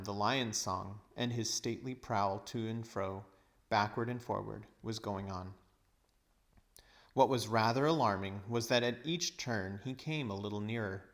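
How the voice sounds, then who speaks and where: A man reading a children's novel aloud as narrator, measured and expressive. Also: fairly low pitch. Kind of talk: storybook narration.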